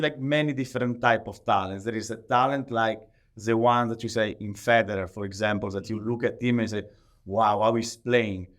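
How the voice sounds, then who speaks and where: A man with an Italian accent answering interview questions conversationally in halting, non-native English, often leaning on the word "like". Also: medium pitch. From Italy. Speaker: podcast guest, professional athlete.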